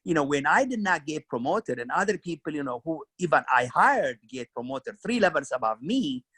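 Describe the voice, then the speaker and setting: Bright-voiced man with an Ethiopian accent. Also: on the high side, big pitch swings, moderate pace, breathy edge, no room sound. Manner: earnest, storytelling, frequent "you know" and "kinda". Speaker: career mentor guest. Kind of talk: reflective career interview.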